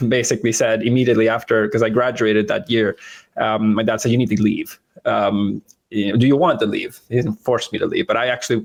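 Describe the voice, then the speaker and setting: A man in his thirties, born and raised in Venezuela, talking as a podcast guest. His pitch sits in an ordinary middle range. He speaks thoughtfully, with frequent fillers and restarts as he works through his points. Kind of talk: casual interview talk.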